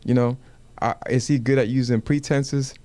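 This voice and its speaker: A man whose first language is Wolof talks through his point in a measured way. He keeps asking rhetorical questions and checking in with the others. The sound is dry and close, with little room echo. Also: medium pitch, steady tone, dark tone. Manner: measured, asks rhetorical questions, says "you know what I'm saying". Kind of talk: casual panel discussion. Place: community media studio.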